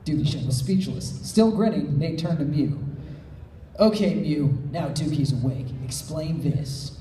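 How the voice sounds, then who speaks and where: A man's voice: on the high side, measured pace, warm tone, a little hiss or hum. A man narrating a story and chatting casually at a live fan fiction panel. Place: convention panel room. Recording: echoey panel room, mic at distance.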